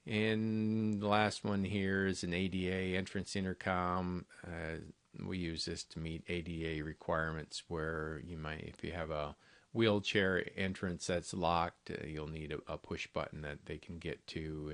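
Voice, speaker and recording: Very deep-voiced man presenting products. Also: fairly bright tone, no room sound. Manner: plainspoken, informal, explanatory. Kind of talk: unscripted product walkthrough.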